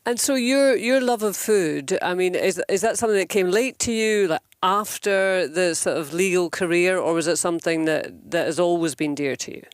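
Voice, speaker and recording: A man hosting a radio interview, his voice high and smooth. He asks friendly, probing questions and sometimes hesitates before he finds the words. The studio sound is dry and close. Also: normal speed, few pauses, no room sound. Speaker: radio host.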